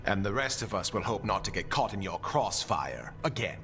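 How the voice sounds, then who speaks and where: An indignant, self-important city official in a game. His voice is forceful and creaky, swinging dramatically in pitch with disapproval. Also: big pitch swings, normal speed, soft background music. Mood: haughty, indignant. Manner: haughty, disapproving. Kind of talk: dramatic video game acting.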